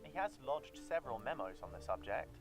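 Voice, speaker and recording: Prim British male secretary, expressively pitched. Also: big pitch swings, a little fast, music in the background. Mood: formal, guarded. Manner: formal, clipped, politely evasive. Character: prim, guarded male secretary to a cathedral dean. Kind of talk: video game voice acting.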